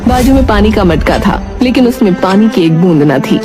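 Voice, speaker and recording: A woman's voice: medium pitch, expressive, normal speed. A woman storyteller reading scripted narration for a cartoon village tale, with music behind her.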